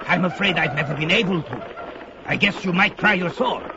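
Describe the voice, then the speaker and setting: A man's voice: high voice, fairly quick, background noise. A man playing an aged, deposed wizard in a fantasy audio drama, weary but stubborn, with grave, old-fashioned, theatrical speech. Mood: resigned. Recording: dull lo-fi, loud music underneath. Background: music.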